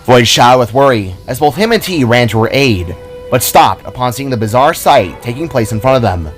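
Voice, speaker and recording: A man's voice: mid-range voice, lively intonation, moderate pace. A man reading his own scripted action story as narrator, in a dramatic storytelling style. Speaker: author-narrator. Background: music.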